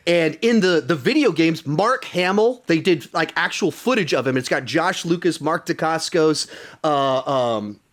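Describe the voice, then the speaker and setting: A man with a fairly high voice hosts a film podcast. He talks in a casual, conversational way, as if discussing things with friends. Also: lively intonation, a little slow, a bit bright. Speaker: podcast host.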